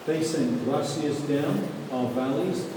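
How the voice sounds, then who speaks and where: A man's voice: on the high side, takes it slowly. Chatty male cave guide echoing underground. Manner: chatty, explains and asks the group questions. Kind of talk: guided tour talk to a group. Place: inside a limestone show cave. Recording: echoey cave, distant camera mic, noisy.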